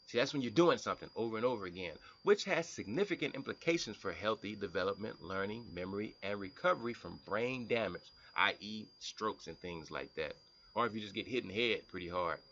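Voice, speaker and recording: A man with a bright, forward voice and a very lively, swinging pitch, half reading and half riffing as he explains. He restarts and repeats words as he goes. The recording sounds dull and lo-fi. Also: fairly quick, slight background noise. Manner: animated, breaks from reading to comment and mimic people. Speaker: health vlogger. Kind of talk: reading and explaining science text aloud.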